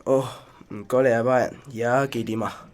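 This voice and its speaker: A man's voice: medium pitch, steady tone, moderate pace, close to an everyday voice. A man with a Hong Kong Cantonese accent playing a weary, mild-tempered businessman in a radio drama. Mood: groggy, polite.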